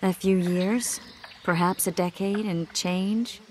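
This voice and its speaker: An actress playing a knowing, forthright mage and healer in a video game, explaining things in a measured way. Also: female voice, mid-range voice, steady tone, a little hiss or hum, close to an everyday voice. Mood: concerned, measured.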